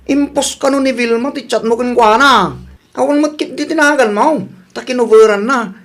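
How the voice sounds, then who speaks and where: A man's voice: extremely high pitch, normal speed, fairly bright tone, pitched above the performer's own voice. A man voicing a gossipy, mocking male buddy in a comedy radio drama. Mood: teasing.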